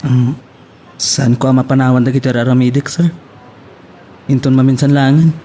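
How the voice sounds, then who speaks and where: A man's voice: mid-range voice, flat delivery, normal speed, dark tone, a little hiss or hum, natural voice. A man playing a polite, evasive employee in an Ilocano radio drama.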